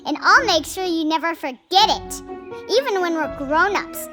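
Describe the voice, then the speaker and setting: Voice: extremely high pitch, lively intonation, normal speed, pushed and bright, soft background music, heavily acted. A performer voicing a young boy flying squirrel bully with a taunting manner in a children's audio drama. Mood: mocking.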